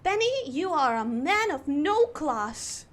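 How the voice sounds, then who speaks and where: A young woman streaming live, chatting in an exaggerated put-on posh British accent with a theatrical, drawn-out delivery. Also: high-pitched, sing-song, fairly bright tone. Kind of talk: casual live-stream chat.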